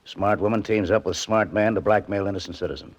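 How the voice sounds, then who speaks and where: An actor playing a gruff, matter-of-fact homicide lieutenant in a 1940s radio drama. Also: male voice, lowish voice, fairly even intonation, quick pace, natural voice. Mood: gruff, advising. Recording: dull vintage 1940s radio recording.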